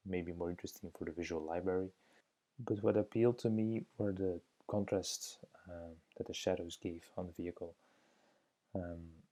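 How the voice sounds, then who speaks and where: A man's voice: deep voice, dark tone. A young Belgian man who speaks Dutch as his first language, giving hesitant, thoughtful voice-over commentary on his drawing, with many fillers. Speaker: drawing teacher.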